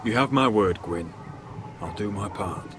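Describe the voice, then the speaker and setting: A man's voice: on the low side, measured pace, noisy background, natural voice. A man voice acting a resolute young lord, protective of his family, in a fantasy game drama, with a solemn, firm, formal delivery.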